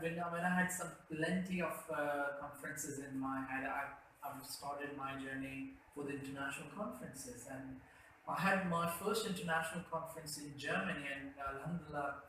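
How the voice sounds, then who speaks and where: A man's voice: a little high, pushed and bright. A young man from Karachi with a Pakistani English accent, telling his life story in an interview, rambling with many fillers and restarts. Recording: roomy echo, faint background noise.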